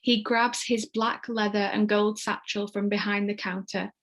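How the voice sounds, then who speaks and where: A woman's voice: medium pitch, flat delivery, measured pace, a bit bright. A woman reading stage directions as the narrator of a screenplay, in scripted narration.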